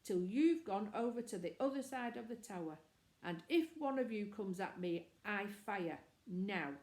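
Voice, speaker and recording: A gruff rustic gamekeeper, played by a woman reading a storybook, blunt and bossy. His speech is wary country dialect with rough grammar, in a mellow tone. The room rings noticeably around him. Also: mid-range voice, animated, a little slow, roomy sound, lightly acted. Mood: wary, commanding.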